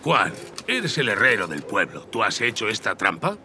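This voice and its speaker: Stern old grandfather, expressive delivery. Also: on the low side, moderate pace, a crowd in the background. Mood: accusing. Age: older. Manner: firm, grave, commanding. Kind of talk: animated series dub acting.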